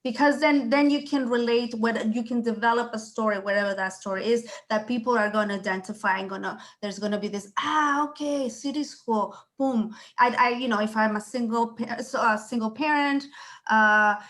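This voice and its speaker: A woman's voice: fairly high pitch, steady tone, normal speed, a bit bright. Woman thinking aloud on a call. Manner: thinks aloud with many restarts and asides. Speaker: client at a school. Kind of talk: video call meeting. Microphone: video call.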